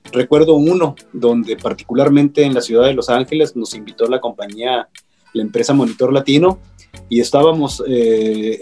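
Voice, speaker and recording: A middle-aged man with a Mexican Spanish accent talks on a radio panel. He hesitates and fills his pauses as he reflects. His voice sits at an ordinary pitch, with soft music playing faintly behind him. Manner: hesitant, many fillers. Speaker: radio broadcaster guest. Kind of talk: panel conversation.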